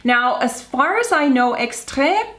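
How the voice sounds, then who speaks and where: A woman's voice: sits high, very animated, unhurried, fairly bright tone. A young adult woman chatting casually at home as she reviews a perfume, easygoing and full of fillers. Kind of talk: casual product review vlog. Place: home room with wood floor.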